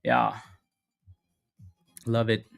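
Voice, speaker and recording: A man with a mid-range voice hosts a live trading stream in a loose, upbeat way. He repeats himself and calls viewers by name, and he sounds a little hoarse, clearing his throat now and then. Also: medium pitch, completely flat delivery, drawn-out words, fairly bright tone, faint music behind. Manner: casual, upbeat, repeats phrases, greets chatters by name. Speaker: stock trading livestream host. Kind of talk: live market commentary with chat shout-outs.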